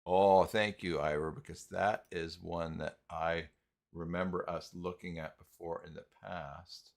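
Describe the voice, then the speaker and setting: Deep-voiced, gravelly man thinking aloud. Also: fairly even intonation. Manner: halting, thinking aloud, muttering to himself. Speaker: live stream host, software tutorial presenter. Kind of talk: casual unscripted screen-share walkthrough.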